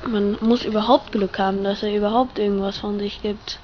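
A woman's voice: medium pitch, fairly even intonation, a little fast. A woman giving casual remarks in an interview recorded on location. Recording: dull, slightly noisy field recording.

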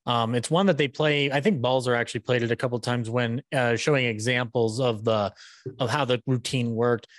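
A man in his thirties chatting casually as a podcast interviewer, halting and repeating words as he goes. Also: mid-range voice, fairly even intonation, no echo. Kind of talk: casual chat. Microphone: podcast mic on a boom arm with headphones.

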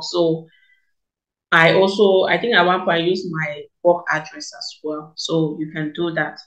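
A woman's voice: low-pitched, fairly bright tone. A young woman from Cameroon walking viewers through an online application form, explaining each step with repeats and fillers. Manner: explanatory, repeats key points, many fillers. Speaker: education consultant, tutorial host. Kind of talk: screen-recorded tutorial walkthrough. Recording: echoey room.

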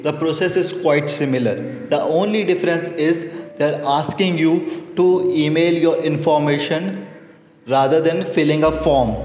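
A young man, hosting an instructive voice-over that talks viewers through the steps. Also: on the high side. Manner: instructive, addresses viewers as guys. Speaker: MMA channel host. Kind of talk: explainer voice-over. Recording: music bed under voice.

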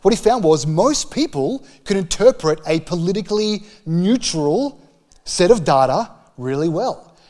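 High-pitched Australian preacher, a man. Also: animated, a little slow, darkish tone. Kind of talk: sermon, conversational public teaching. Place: church hall with stage. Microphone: handheld microphone.

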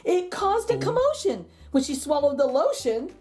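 A woman's voice: high voice, very animated, background noise. A middle-aged woman reading a picture book aloud to children, theatrical and rhythmic, drawing out the ends of lines. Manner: theatrical, rhythmic delivery with drawn-out line endings. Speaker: children's story reader and channel host.

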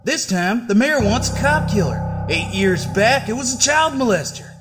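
A man's voice: high voice, animated, normal speed. A man acting a bitter, unhinged, vengeful figure who rants sarcastically in a comic-book audio drama. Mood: bitter, manic. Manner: ranting. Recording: music behind the voice.

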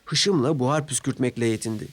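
A man's voice: medium pitch, brisk. A man narrating a scripted children's story as a storyteller.

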